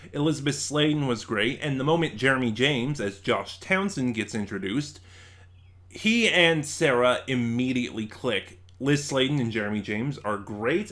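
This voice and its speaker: Man, American accent, medium pitch, review channel host, informal emphatic delivery, casual opinionated commentary. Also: a bit bright. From Nevada, United States.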